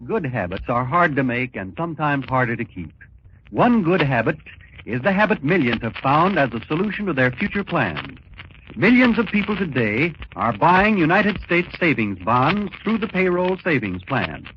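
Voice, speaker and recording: A man announcing a scripted 1940s radio message with measured, emphatic phrasing. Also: fairly high pitch, big pitch swings. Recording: dull, noisy 1940s radio recording.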